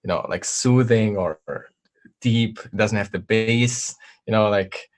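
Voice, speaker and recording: A man's voice: on the low side, a little slow. Hesitant man guest with many fillers. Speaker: guest, video maker. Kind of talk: casual chat.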